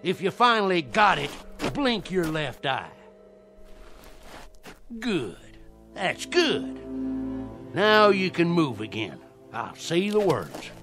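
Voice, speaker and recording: A man's voice: on the high side, big pitch swings, slow, pauses often, music in the background, exaggerated voice. An actor playing a fervent old Southern conjure man in a radio play, with a folksy, theatrical rustic way of talking. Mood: commanding, eerie. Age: older. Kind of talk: dramatic radio play acting.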